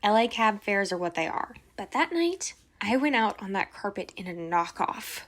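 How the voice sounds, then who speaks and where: A woman's voice: mid-range voice, very animated, moderate pace, fairly bright tone. A woman playing an indignant, dramatic Hollywood socialite in an amateur radio play, with a theatrical, exasperated manner. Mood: indignant recounting.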